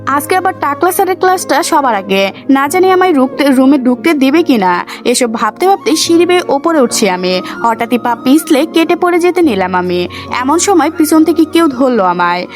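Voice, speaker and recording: A woman tells a dramatized first-person story in a high voice that swings freely up and down. Soft music runs behind her narration. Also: animated, normal speed.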